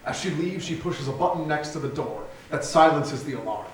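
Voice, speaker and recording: Man, stage directions narrator, scripted delivery, slightly high pitch, very echoey theatre, distant mic. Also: expressive, fairly quick, a little hiss or hum.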